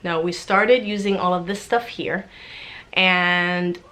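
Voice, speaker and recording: A woman's voice: fairly low pitch, fairly even intonation, takes it slowly, sharp tone. Stuffy-voiced woman chatting to camera. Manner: chatty, friendly, talks straight to viewers. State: stuffy and congested from a cold. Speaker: homeschooling mom vlogger. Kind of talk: casual chat to camera.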